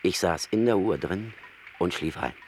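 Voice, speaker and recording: An actor playing a boastful, world-weary wandering rat who tells tales in a musing, self-important manner in a radio play. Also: male voice, low voice, lively intonation, normal speed. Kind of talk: German radio play acting. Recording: noisy old radio drama recording.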